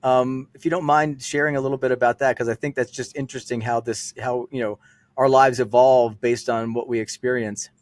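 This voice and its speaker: A man's voice: mid-range voice, steady tone, a little fast. A man hosting an interview podcast, easygoing and loose, with many fillers. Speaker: podcast host. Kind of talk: interview, casual chat.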